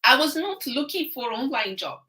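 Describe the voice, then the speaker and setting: Bright-voiced halting woman guest. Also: high voice, very animated. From Ethiopia. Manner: hesitant, halting, frequent uh fillers. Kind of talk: casual live-stream interview.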